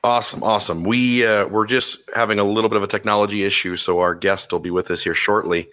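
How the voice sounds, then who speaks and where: Male podcast host, a bit hoarse and crackly, lower pitch, bright tone, halting casual talk. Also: fairly even intonation. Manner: halting, many fillers and restarts. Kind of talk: casual chat.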